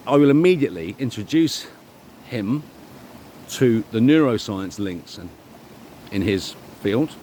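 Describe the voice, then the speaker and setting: A middle-aged man giving a rambling, informal monologue, speaking emphatically and self-assuredly in halting, repeated phrases. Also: medium pitch, darkish tone, a little hiss or hum. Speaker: vlogger.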